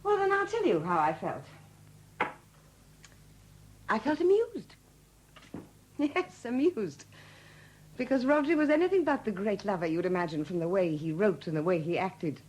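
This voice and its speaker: An actress playing a wry, bitter widow with a husky, expressive voice in a 1970s radio drama. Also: female voice, medium pitch, normal speed, pauses often, slight background noise, close to an everyday voice. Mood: wry, sardonic. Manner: sardonic, expressive.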